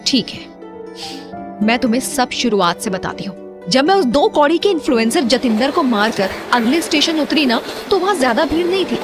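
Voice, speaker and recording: A woman's voice: sits high, sing-song, normal speed. A woman playing a young wife, earnest and a little defensive, in an audio story drama over music. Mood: earnest, recounting.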